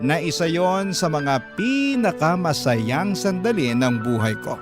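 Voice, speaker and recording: A man playing an earnest husband, telling his heartfelt story in measured, sentimental first-person drama narration. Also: a little high, big pitch swings, loud music behind the voice.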